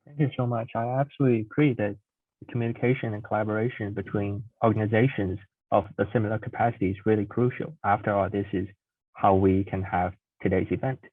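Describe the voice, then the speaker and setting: Man, moderator, polite, lowish voice, tinny phone-like sound, dry. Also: fairly even intonation, fast talker, no room sound. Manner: polite, thanking and introducing speakers. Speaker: meeting moderator. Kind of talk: moderating an online meeting.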